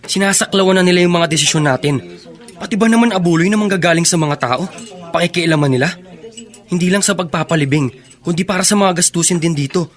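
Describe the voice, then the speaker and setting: An actor playing an earnest, bereaved and protective young son in a Filipino radio drama, with intense emotional delivery. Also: male voice, high-pitched, fast, lightly acted. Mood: indignant.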